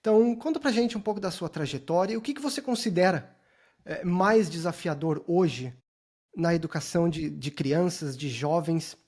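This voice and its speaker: A man's voice: on the high side, animated, moderate pace, darkish tone. A Brazilian man speaks as the host of an interview podcast. He introduces topics and puts questions to his guest in polite, somewhat formal language. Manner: courteous, formal phrasing.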